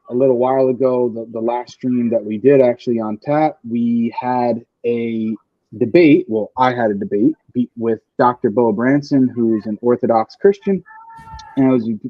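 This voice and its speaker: A man's voice: mid-range voice, steady tone, normal speed, no room sound. A male podcast host in casual chat, explaining an idea step by step with many fillers. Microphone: home computer mic over a stream. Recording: dull lo-fi mic.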